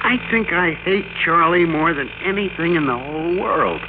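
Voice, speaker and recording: A man's voice: fairly high pitch, lively intonation, moderate pace. A man acting a meek, timid employee in his forties in an old-time radio drama, hesitant and deferential, with resentment underneath. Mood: resigned. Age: 40s. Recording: noisy, tinny old radio recording.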